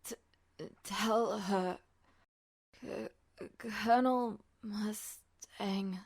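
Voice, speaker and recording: Woman voicing a weak, croaky drugged colonel, painfully slow. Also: medium pitch, steady tone, pitched below the performer's own voice, exaggerated voice. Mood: groggy, urgent. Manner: halting, stammering, slurred with effort. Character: weak, drugged male army colonel in hospital, insistent.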